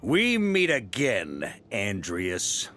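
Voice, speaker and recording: Seasoned, self-assured knight commander over music. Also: male voice, lowish voice, wildly swooping pitch, extremely slow, sharp tone, heavily acted. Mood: musing. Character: seasoned male knight grandmaster, calm and confident commander. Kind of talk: video game voice acting.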